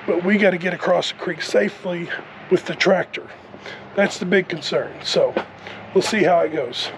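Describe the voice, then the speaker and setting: A man in his thirties who has lost his voice to a cold, talking hoarsely and haltingly to a camera held at arm's length on a rainy farm. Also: sits high. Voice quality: hoarse, strained. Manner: plain, halting with fillers. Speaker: farm vlogger. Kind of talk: casual vlog talk to camera. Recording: noisy outdoor recording.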